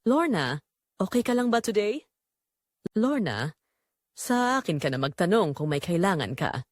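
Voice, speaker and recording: A woman voicing a protective, quietly intense man in a romance audio drama, gentle and deliberate. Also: big pitch swings, dry recording, clean studio sound. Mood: tender, protective. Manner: low-key, gentle, deliberate.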